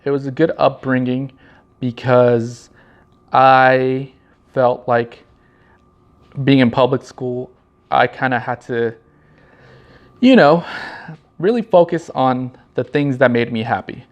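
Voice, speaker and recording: A man from Los Angeles County talks casually and reflectively about himself, halting often and repeating his phrases. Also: mid-range voice. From Los Angeles County, California. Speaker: vlog host and marketing director. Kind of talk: casual personal monologue, storytelling. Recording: slightly dull, lo-fi sound.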